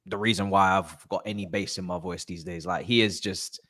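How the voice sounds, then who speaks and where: A man's voice: sits low, fairly quick. A man giving a casual football breakdown, rambling with fillers and restarts. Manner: animated, rambling with filler words. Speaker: football pundit.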